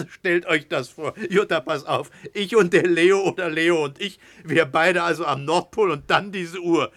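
A joking, reluctant radio-play sidekick. His voice sits a little high for a man and is recorded very dry, and he draws out his teasing in a droll way. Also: measured pace, few pauses, fairly bright tone, no echo. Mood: skeptical, amused. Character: joking, reluctant male sidekick, former boxer, playfully long-winded. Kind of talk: German radio play acting.

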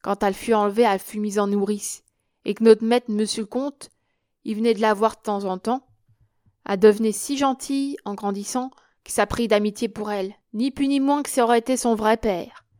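A woman reading aloud, voicing a coarse old drunken woman with rough, loud, working-class speech. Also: mid-range voice, fairly even intonation, brisk, no room sound, clean studio sound, lightly acted. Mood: pleading.

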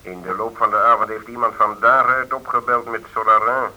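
An actor playing a composed, commanding police official heard over the telephone in a radio play. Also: male voice, medium pitch, steady tone, fairly quick. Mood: composed, authoritative. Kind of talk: Dutch radio play acting. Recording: dull lo-fi telephone line.

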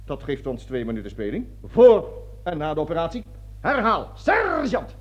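An actor playing a pompous, fanatical army colonel with a declamatory manner in a satirical radio play. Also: male voice, a little high, wildly swooping pitch, normal speed, faint music behind. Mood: barking, insistent. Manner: declamatory speeches, barking orders, stammering when flustered. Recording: dull lo-fi radio drama recording.

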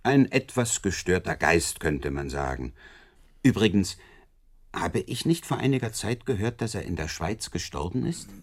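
An actor playing a smug, amused Scotland Yard investigator in a German radio drama, talking in a wry, condescending, self-assured way. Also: male voice, deep voice, sing-song, moderate pace, full character voice.

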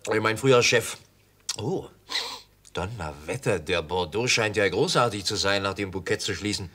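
A man's voice: on the low side, moderate pace, sharp tone, slightly rough. An actor playing a boastful, jovial and unsuspecting travelling salesman in a German radio play, a man with a self-satisfied, chuckling way of talking. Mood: carefree.

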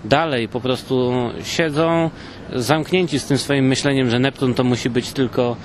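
A man's voice: medium pitch, steady tone, normal speed, a little hiss or hum. A man with a Polish accent doing playful vox-pop interviews outdoors as a co-host, in a mock-serious manner. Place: outdoors on a city street. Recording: outdoor urban street recording.

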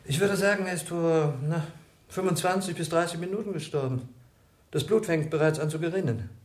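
An actor playing a calm, matter-of-fact village doctor in a German radio play, dry and measured. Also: male voice, on the high side, roomy sound, lightly acted.

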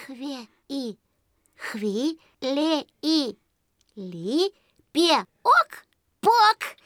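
A woman's voice: high voice, very animated, extremely slow, a bit bright, no room sound, clean studio sound, exaggerated voice. A woman voicing an eager little village boy in an audio storybook. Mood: halting. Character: eager, timid little village boy, boastful when bold.

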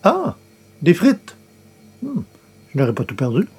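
An actor playing a grumbling, playful newspaper columnist with theatrical, hesitant asides, in the style of 1940s radio comedy. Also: male voice, on the high side, huge pitch swings, unhurried, background noise. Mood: dismayed.

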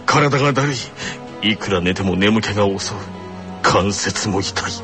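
An actor playing a stern, weary teenage tennis captain in a deadpan anime radio drama monologue. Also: male voice, fairly low pitch, moderate pace, music in the background, natural voice. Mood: solemn, weary.

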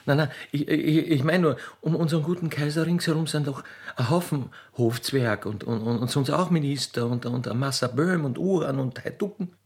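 A man playing a naive, excitable young Austrian country girl in a radio play, stammering and repeating words in wonder. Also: on the high side, normal speed, hardly any pauses, breathy edge. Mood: naively earnest.

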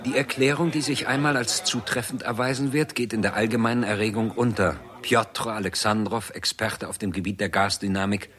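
An actor as the sober narrator of a 1960s science fiction radio play, husky and documentary in manner. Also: male voice, on the low side, steady tone, brisk, hardly any pauses, fairly bright tone, lightly acted.

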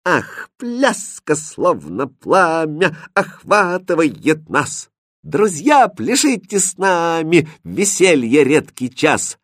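A man voicing a lively young kitchen girl, kind and teasing, with a sing-song manner in a storybook. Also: fairly high pitch, takes it slowly, no room sound, studio quality, pitched above the performer's own voice, heavily acted. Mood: joyful singing.

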